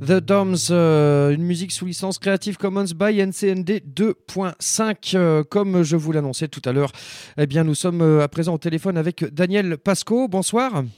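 A man hosting a radio interview in as his language, phrasing his questions in a hesitant, halting way. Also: high voice, a little mellow, no room sound, clean studio sound. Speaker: radio host interviewing a phone guest.

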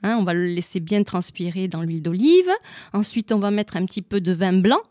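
A woman's voice: lowish voice, brisk, darkish tone, dry recording, studio quality. A woman with an Italian accent, a shop owner and guest, chatting and explaining cooking step by step. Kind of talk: casual explanation of recipes.

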